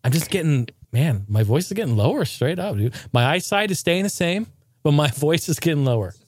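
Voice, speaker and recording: A man hosting a casual chat, excitable and quick to laugh, often calling people dude. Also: fairly high pitch, dry recording, clean studio sound.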